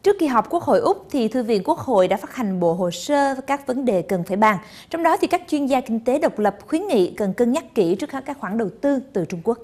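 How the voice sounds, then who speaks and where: Young woman anchoring the news, slightly high voice. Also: sing-song, a little fast, hardly any pauses, a little mellow. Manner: polished, formal address to viewers. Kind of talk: news presenting. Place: TV studio.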